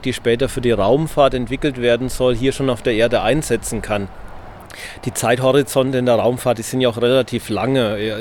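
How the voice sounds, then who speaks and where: Measured male engineer with fillers. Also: medium pitch, a little hiss or hum. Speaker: engineer, interviewee. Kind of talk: conversational explanation. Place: event venue.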